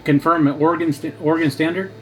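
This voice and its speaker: A man's voice: on the high side, flat delivery, fast. A man giving casual running commentary as he operates an amateur radio, talking in short, clipped remarks. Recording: loud radio static and hiss. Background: noisy radio static.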